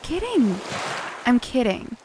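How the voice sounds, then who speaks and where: An actress playing a curious, sceptical, easily amazed visitor in a sci-fi audio drama, speaking casually with blunt, hesitant phrasing. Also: female voice, a little high, sing-song, slow, background noise. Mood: nervous, teasing.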